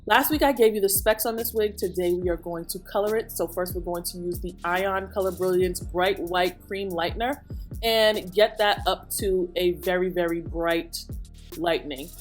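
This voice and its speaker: Woman narrating a beauty tutorial. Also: fairly low pitch. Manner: steady product walkthrough. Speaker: beauty vlogger. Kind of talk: scripted voice-over narration. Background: soft music.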